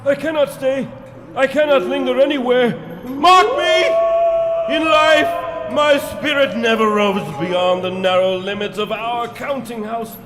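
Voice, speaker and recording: A man acting a tormented, stern ghost of a dead businessman in a live radio drama, with theatrical, drawn-out, wailing delivery. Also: extremely high pitch, very animated, noisy background, full character voice. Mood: anguished.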